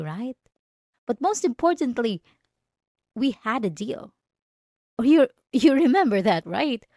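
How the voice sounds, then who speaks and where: A woman's voice: medium pitch, darkish tone, no room sound, studio quality. A young woman playing a jealous, condescending yandere student bully in an ASMR roleplay, speaking straight to the listener with sarcastic, taunting emphasis. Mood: defensive, scolding.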